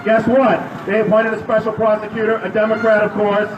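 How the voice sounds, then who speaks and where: A man delivering a loud protest speech at an outdoor rally, firing questions at the crowd. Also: high voice, monotone, brisk, muffled recording, over music. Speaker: rally speaker. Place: outdoor city square. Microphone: public address system heard from a distance. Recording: echoey outdoor PA from far off.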